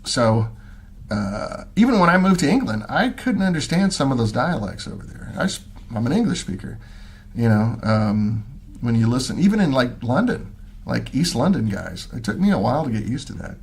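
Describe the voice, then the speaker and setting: A middle-aged man chats at home, answering viewers as he goes. His voice is gravelly and a little husky. He rambles, restarts sentences and fills the gaps with ums. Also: mid-range voice, sing-song, normal speed. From Missouri, USA. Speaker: livestream host. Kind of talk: casual chat, answering viewer comments.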